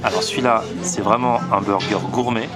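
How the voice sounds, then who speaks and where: Guy doing a chatty food vlog, explains stuff casually, loud music under him. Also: lowish voice, fairly even intonation, moderate pace. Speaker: food vlogger and host. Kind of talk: casual vlog chat to camera.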